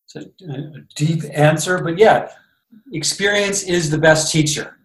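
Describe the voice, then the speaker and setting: A man's voice: on the high side, unhurried, a bit bright, roomy sound, rough recording. A man hosting a casual talk show, easygoing and upbeat as he chats with his guest. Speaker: host.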